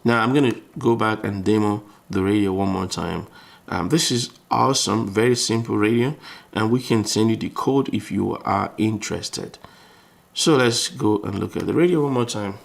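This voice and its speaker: Male tutor, low creaky voice, informal step-by-step electronics walkthrough with repeated phrases. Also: normal speed. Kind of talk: explanatory tutorial, thinking aloud while demonstrating.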